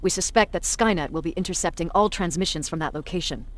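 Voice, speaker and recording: An actress playing a cynical, blunt resistance commander in a video game, with a measured, sardonic delivery. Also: female voice, fairly low pitch, natural voice. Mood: brisk, commanding. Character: hard, cynical female resistance military commander, self-declared bully.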